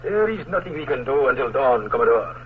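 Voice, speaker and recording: An actor playing a calm, cautious colonel who speaks in a formal, measured way in a 1940s radio drama. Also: male voice, medium pitch, a little hiss or hum, natural voice. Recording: tinny old radio broadcast.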